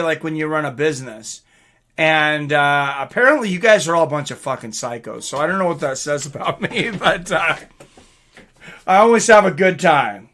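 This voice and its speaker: High-pitched, bright-voiced man calling fights live. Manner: excitable, informal, swearing, reacting aloud. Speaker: host of a live fight reaction stream. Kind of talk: live fight commentary mixed with casual chat to viewers.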